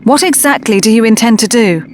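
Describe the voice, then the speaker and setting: Woman playing a troubled, higher-voiced loyalist. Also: animated, fairly quick, a crowd in the background, natural voice. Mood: anxious pleading. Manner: earnest, wistful, questioning. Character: troubled, conflicted, earnest woman, loyal friend. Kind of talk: audio drama acting.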